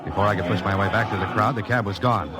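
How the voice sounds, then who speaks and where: An American actor playing a wry, cool novelist-sleuth in a 1940s radio drama, with dry, clipped delivery. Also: male voice, lowish voice, fast, over a noisy crowd, natural voice. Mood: wry, intent. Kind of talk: 1940s radio drama acting and first-person narration. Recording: old radio recording with music behind.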